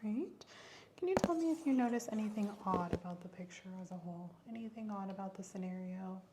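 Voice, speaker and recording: A woman doing a soft, slow ASMR medical exam roleplay, giving gentle clinical instructions, often in a whisper, close to the microphone. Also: mid-range voice, lively intonation, noisy background. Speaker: ASMR host playing a clinical examiner.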